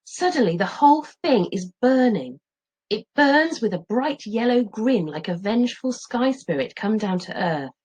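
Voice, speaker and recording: Female teacher, middle-aged, for expressive children's story narration; lively pitch movement, lightly husky tone. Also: normal speed. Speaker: teacher reading aloud.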